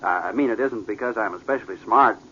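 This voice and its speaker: A bashful, eager young company man in a 1940s radio play. He talks haltingly in a fairly low but boyish, flustered way. The recording is dull and noisy. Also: bright, forceful tone. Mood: bashful, modest. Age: young adult. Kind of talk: 1940s radio drama acting.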